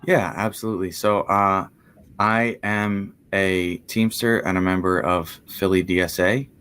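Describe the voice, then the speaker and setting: A man's voice: low voice, steady tone, a little slow, fairly bright tone, clear, resonant tone. A man who is a union member and activist, answering in an interview in a plain, easygoing way with fillers. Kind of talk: casual interview talk.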